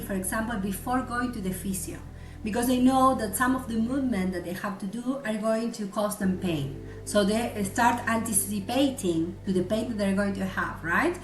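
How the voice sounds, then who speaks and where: A woman's voice: on the high side, echoey room. A woman from Argentina with an Argentine Spanish accent, a psychologist presenting a webinar who often checks in with "yes?" and "right?".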